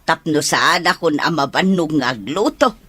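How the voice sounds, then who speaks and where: A woman playing a good-humoured Filipino mother with a husky voice in a radio drama. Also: low voice, lively intonation, measured pace, a bit bright, no room sound, heavily acted. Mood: playful.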